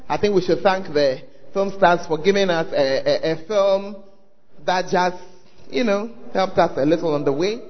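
A man's voice: high voice, normal speed, long reverb tail, highs cut off, a little hiss or hum. A man with a Ghanaian accent preaching a sermon, prodding the congregation to answer him and talk to their neighbours. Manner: calls out to the audience, prods them to respond. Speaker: pastor. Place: large church hall.

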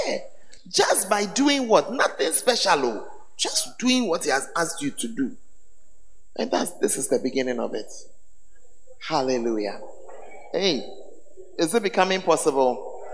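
A man preaching as a bishop, his voice hoarse, teasing the congregation with call-and-response questions. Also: sits high, fairly bright tone, a little hiss or hum. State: hoarse, voice tired. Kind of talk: sermon. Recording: echoey hall with faint ambience.

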